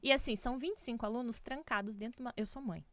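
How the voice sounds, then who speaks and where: A woman's voice: on the high side, racing, fairly bright tone, no echo. A woman with a Brazilian accent speaking as an interview guest, casually and emphatically giving opinions and checking in with tag questions. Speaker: podcast guest, doctor.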